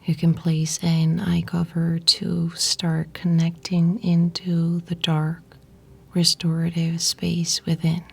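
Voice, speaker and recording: Very slow, breathy, whispery woman guiding meditation. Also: on the low side, completely flat delivery. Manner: soothing, unhurried, pausing between short phrases. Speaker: yoga nidra guide. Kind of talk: guided meditation narration.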